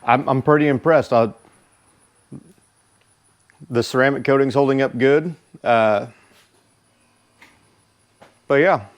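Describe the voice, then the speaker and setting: A man giving a casual product review as a motorcycle vlogger, speaking informally and haltingly. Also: medium pitch. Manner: informal, halting, unhurried delivery.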